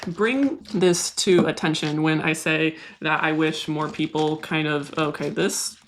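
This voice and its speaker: A woman's voice: deep voice, steady tone, a little slow, a little hiss or hum. A young woman talking casually and candidly to her viewers while she repots and stakes houseplants. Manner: soft, candid, confiding. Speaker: plant vlogger.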